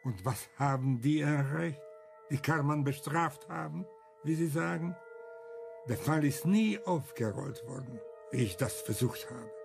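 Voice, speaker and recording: Older male survivor, radio drama, slow deliberate pace, gravelly, bitter, emphatic, principled. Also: on the high side, soft background music. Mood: agitated, arguing. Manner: emphatic, argumentative, rhetorical questions. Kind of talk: German radio crime drama acting.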